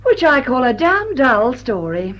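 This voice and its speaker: Husky, flippant upper-class English lady. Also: sits high, sing-song, a little slow, fairly bright tone, full character voice. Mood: teasing, blunt. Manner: breezy, teasing, blunt.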